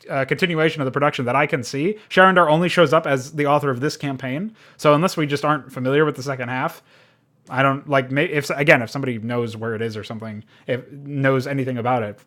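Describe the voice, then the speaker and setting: A man's voice: mid-range voice, fairly bright tone. A man giving a casual, unscripted game review, rambling and informal, with frequent swearing.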